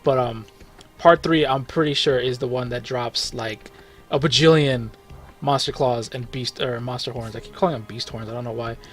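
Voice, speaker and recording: Relaxed male gaming host, mid-range voice, chatty and meandering, faint background music. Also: mid-range voice, moderate pace. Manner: rambling, relaxed, frequent fillers and self-corrections, chuckles. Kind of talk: casual gameplay commentary.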